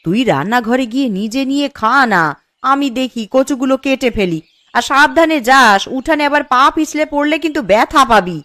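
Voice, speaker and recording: A woman's voice: sits high, lively intonation, fairly quick, fairly bright tone, dry recording. A woman playing a scolding, principled village mother in a cartoon story, with a nagging, homely manner. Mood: coaxing. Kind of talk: Bengali cartoon story acting. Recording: music behind the voice. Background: background music.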